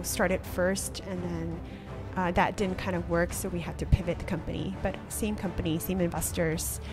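A woman in her thirties from Malaysia, a startup founder answering interview questions reflectively with many pauses and restarts, speaking into a desk podcast microphone in a small studio. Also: medium pitch. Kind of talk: conversational interview. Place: small studio with wooden wall.

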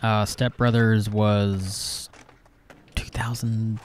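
A man's voice: fairly low pitch, flat delivery, very slow, a little hiss or hum. A young adult man co-hosting a gaming video in casual, conversational chat.

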